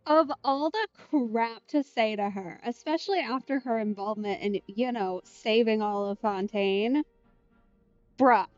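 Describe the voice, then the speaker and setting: Slow, casual female game streamer, slightly dull mic. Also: fairly high pitch, no room sound, soft background music. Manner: informal, reactive. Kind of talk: casual let's play commentary.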